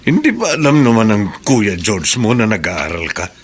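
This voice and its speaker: A man's voice: on the low side, huge pitch swings, normal speed, slightly rough, full character voice. A Filipino man acting in a radio drama as a kindly, wise older mentor, giving fatherly advice. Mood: gently probing. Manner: fatherly, advising.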